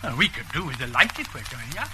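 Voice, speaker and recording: An actor playing a genial, wistful old shopkeeper in a radio drama, rambling and hesitant. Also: male voice, medium pitch, very animated, fast talker, noisy background, natural voice. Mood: wistful, chatty. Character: chatty, genial old widowed junk shop keeper.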